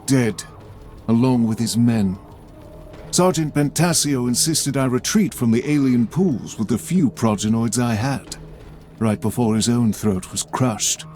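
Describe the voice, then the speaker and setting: An actor playing a young warrior priest, formal and dutiful. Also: male voice, mid-range voice, unhurried, darkish tone, close to an everyday voice. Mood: grave. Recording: game cutscene with music.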